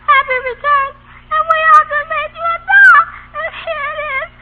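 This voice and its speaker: A woman's voice: very high voice, steady tone. Tiny-voiced, timid Southern girl. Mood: nervous, tearful. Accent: American South. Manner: halting, timid. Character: shy, frightened little Southern servant girl. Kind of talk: 1940s radio drama acting. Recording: very noisy, tinny old radio broadcast.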